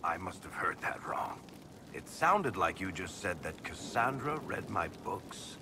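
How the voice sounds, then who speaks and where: Roguish game storyteller: very low, animated, dryly sardonic, noisy surroundings. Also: male voice, unhurried, exaggerated voice. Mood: disbelieving. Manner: dry, conversational, wisecracking. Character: wry, sardonic roguish male storyteller, grudgingly good-natured. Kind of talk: cinematic game dialogue acting. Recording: background noise and ambience.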